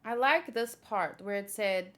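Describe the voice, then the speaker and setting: A woman doing an emotionally expressive read-along reaction, gushing and gasping as she goes. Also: medium pitch, measured pace. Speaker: manga reader reacting on video.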